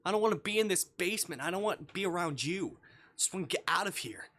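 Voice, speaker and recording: Man acting a loud, high, agitated captive. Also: expressive, fast, no room sound, studio quality, full character voice. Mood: angry, defiant. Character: defiant, frightened, angry captive in a basement.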